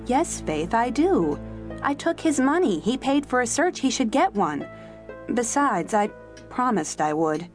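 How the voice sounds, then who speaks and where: An actress playing a conscientious, polite young bookstore clerk in an audio drama, earnest and insistent. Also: female voice, on the high side, sing-song, measured pace. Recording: music behind the voice.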